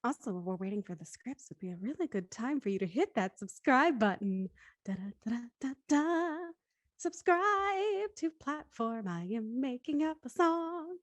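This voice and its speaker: A woman's voice: sits high, expressive, moderate pace, no echo. A woman hosting a live-streamed show in a casual, chatty and playful way. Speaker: host of a live script-reading show.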